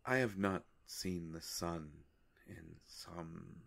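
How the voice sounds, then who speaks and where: A man plays an ancient, courtly vampire in an intimate audio roleplay. The voice sits very low with a heavy creak. He speaks very slowly and formally, with melancholy, hushed hesitations. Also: exaggerated voice. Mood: wistful, solemn. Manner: formal, halting, hushed, measured phrasing.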